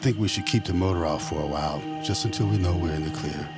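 An actor playing a calm, enigmatic psychic escapee in an audio drama, with a measured, grave, understated delivery. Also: male voice, deep voice, loud music behind the voice, natural voice. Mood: grave, knowing.

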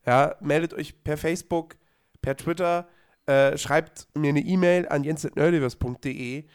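A man co-hosting a podcast, hoarse from a cold, chatting casually with lots of fillers and restarts. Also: fairly high pitch, steady tone, measured pace. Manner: rambling, many fillers and restarts. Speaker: podcast co-host. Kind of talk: casual chat.

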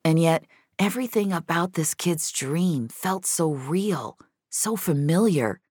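A woman's voice: low voice, expressive, slow pace, darkish tone, slightly rough, dry recording, studio quality. A woman reading scripted fiction as a dramatic narrator. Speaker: narrator and reader of an audio fiction episode.

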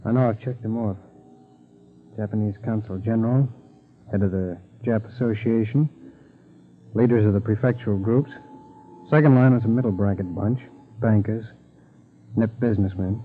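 An actor playing an earnest American FBI agent in a 1940s radio drama. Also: male voice, fairly low pitch, moderate pace, pauses often, a little hiss or hum, natural voice. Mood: brisk, observant. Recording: thin, phone-like 1940s radio recording.

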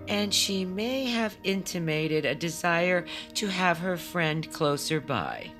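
Dry-humored fortune teller woman, very low voice, unhurried pace, audio drama. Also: expressive, music in the background. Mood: wry, warm. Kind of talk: naturalistic audio drama acting.

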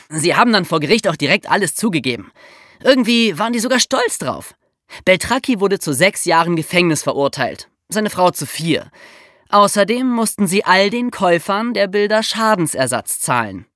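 A woman's voice: lowish voice, a bit bright, no echo, clean studio sound. A woman co-hosting a podcast, explaining true crime in a colloquial, conversational storytelling way for young listeners. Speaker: podcast co-host.

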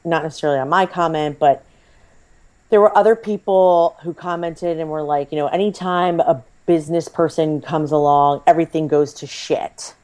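Low-voiced woman, a bit froggy. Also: steady tone, moderate pace, a little mellow. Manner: chatty, rambling, self-deprecating. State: slightly hoarse, froggy voice. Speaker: podcast co-host. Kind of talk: casual podcast chat.

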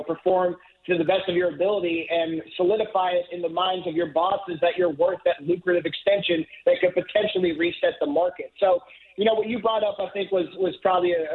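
Guest football writer, man, high voice, tinny phone line, fluent analytic talk. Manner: fluent, analytical, repeats words when starting. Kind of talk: phone-in radio interview.

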